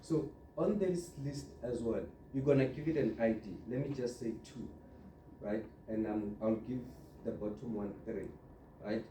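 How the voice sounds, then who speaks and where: A man giving an informal step-by-step software tutorial, instructing and often checking in with the listener. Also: mid-range voice, a little slow. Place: indoor room, filming a computer monitor. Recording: roomy echo, distant mic, clear background noise.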